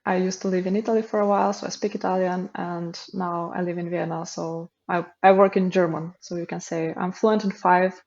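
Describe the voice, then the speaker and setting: A woman's voice: lowish voice, brisk, gravelly, few pauses. Laughing Macedonian woman on a dull line. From North Macedonia. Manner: laughs often, relaxed and friendly. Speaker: podcast guest. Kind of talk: casual interview chat.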